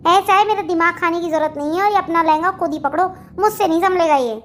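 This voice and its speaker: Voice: very high voice, fast, a bit bright, slight background noise. A performer voicing a rude, irritable young newlywed bride in a family cartoon, curt and sarcastic. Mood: irritated. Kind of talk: Hindi family comedy cartoon dialogue.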